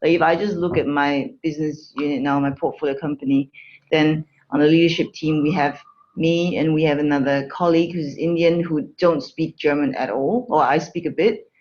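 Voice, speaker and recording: A business unit leader speaking casually and quickly on a panel, with frequent fillers like "you know". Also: medium pitch, fairly even intonation, muffled recording. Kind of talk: panel discussion.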